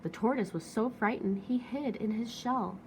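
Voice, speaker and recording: A woman's voice: fairly high pitch, moderate pace. Woman reading a storybook, noisy background. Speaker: children's storybook reader. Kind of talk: expressive read-aloud narration.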